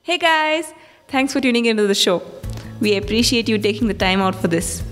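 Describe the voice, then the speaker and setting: Over clear music, a woman delivers a scripted podcast sign-off as host. Her voice carries a long reverb. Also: a little high, expressive, fairly quick, a bit bright. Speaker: podcast host reading the outro.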